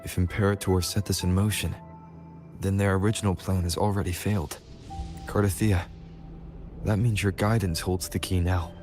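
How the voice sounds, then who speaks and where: A man playing a calm, steady, earnest young hero in a video game, level-headed and serious. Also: low-pitched, normal speed, pauses often, soft background music, close to an everyday voice.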